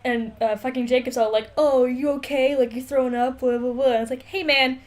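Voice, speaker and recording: High-voiced, emphatic woman podcaster. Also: fairly even intonation, brisk. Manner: sweary, emphatic, mock-exasperated. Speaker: podcast co-host. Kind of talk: casual comedic book discussion.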